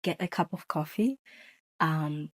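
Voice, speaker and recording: A woman's voice: sits low, measured pace. A woman hosting her own talk show, chatting casually and drawing her guest into conversation. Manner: chatty, warm welcomes to viewers. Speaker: podcast host. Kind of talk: casual chat.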